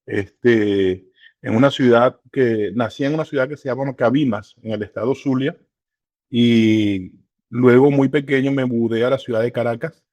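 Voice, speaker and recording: A middle-aged man, speaking as an interview guest in an unhurried way, with many hesitations and fillers. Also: mid-range voice, a little mellow, no echo, highs cut off. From Venezuela. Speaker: church regional director, guest. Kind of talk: relaxed interview conversation.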